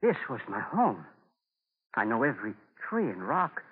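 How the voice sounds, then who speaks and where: A man's voice: medium pitch, wildly swooping pitch, moderate pace, breathy edge, full character voice. Solemn, homesick Native man, old radio. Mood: urgent searching. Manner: solemn, simple formal phrasing. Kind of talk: 1940s radio drama acting. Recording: thin, narrow-band 1940s radio broadcast.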